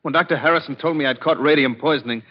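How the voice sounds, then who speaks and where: An actor playing a desperate, defiant criminal in an anguished, melodramatic 1940s radio style. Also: male voice, a little high, fast talker, close to an everyday voice. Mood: desperate, pleading. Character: desperate, defiant doomed man turned killer thief. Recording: dull, lo-fi old radio recording.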